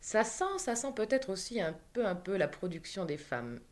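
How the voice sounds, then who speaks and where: A woman's voice: medium pitch, sing-song, normal speed. A woman hosting a talk program, speaking in a wry, conversational way with many asides. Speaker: host. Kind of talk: radio interview and commentary.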